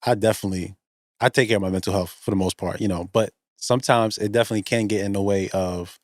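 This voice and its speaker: Low quick-talking man co-host. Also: fairly even intonation, dry recording, studio quality. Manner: agreeable, brief backing replies. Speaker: podcast co-host, rapper. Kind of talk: casual podcast chat.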